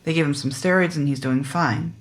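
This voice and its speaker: A woman's voice: deep voice, fairly quick, close to an everyday voice. Woman playing a blunt, impatient small-town sheriff. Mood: grim. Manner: curt, demanding. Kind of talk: naturalistic audio fiction podcast acting.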